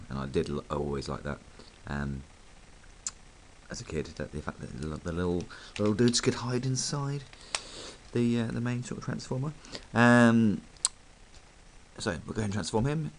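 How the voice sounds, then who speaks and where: A man talks through a toy review in a very low voice, croaky and gravelly from hay fever. He rambles casually, stopping for ums and small asides as he handles the piece, with a faint hiss of noise behind him. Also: low-quality recording. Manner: chatty, rambling, full of ums.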